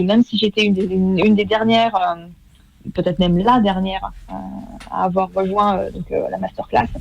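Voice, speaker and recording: Give me a woman in her thirties speaking haltingly, with a creaky, gravelly voice. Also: medium pitch, dull audio, slight background noise. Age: 30s. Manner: hesitant, many fillers and restarts. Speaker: guest, former masterclass student. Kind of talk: casual radio interview.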